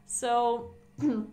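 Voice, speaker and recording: Woman streaming chess from home, bit high voice, laughs a lot, chatty. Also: dark tone, a little hiss or hum. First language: Bulgarian. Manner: chatty, laughing, non-native phrasing. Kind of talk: casual live-stream commentary. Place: indoor room at home.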